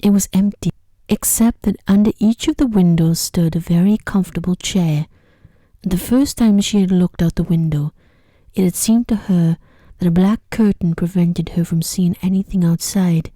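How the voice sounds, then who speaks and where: Female ASMR storyteller: lowish, soft and whispery, very mellow, gentle soothing delivery, dry sound. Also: normal speed, dry recording. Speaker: narrator. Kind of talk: ASMR sleep story narration.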